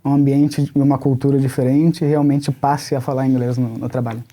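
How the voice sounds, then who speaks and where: A man's voice: medium pitch, little pitch movement, mellow. A man with a Brazilian accent hosting a casual panel, moderating and asking questions. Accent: Brazilian Portuguese. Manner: quick, chatty, moderating. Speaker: host.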